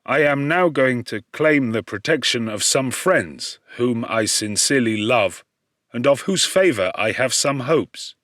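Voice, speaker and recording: A lonely outcast creature, acted by a male audiobook reader, speaks in a mid-pitched male voice. He is humble and eloquent, weighing each formal phrase slowly with anxious, pleading earnestness. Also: expressive, a bit bright, no room sound, clean studio sound. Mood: anxious, forlorn. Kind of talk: audiobook character voice.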